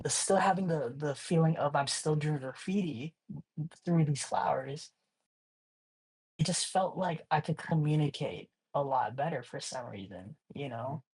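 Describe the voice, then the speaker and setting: A man's voice: a little high, a little mellow, slightly rough, poor audio. A young man from Hawaii, a guest artist in a casual interview, talking in a laid-back, slangy way full of "like" and "you know".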